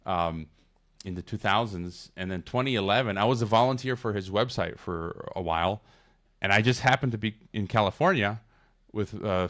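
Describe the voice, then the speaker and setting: A man's voice: fairly low pitch, fairly bright tone. A middle-aged man from Chicago, a podcast guest, talking casually in a rambling, digressive way with many restarts. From Chicago, United States. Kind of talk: casual interview conversation.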